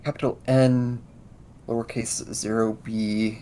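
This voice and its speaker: A man's voice: little pitch movement, slow pace, mellow. A man narrating and role-playing his character in a tabletop role-playing game. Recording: low rumble and background noise.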